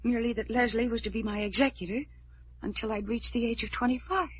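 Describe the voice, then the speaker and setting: Woman playing young heiress, high voice, fretful, earnest, stubborn, vintage radio drama, faint hiss, dull sound. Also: steady tone, fast, natural voice. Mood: anxious, stubborn. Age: young adult. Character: anxious, stubborn young heiress haunted by family curse. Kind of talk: old-time radio drama acting. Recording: dull, noisy old radio recording.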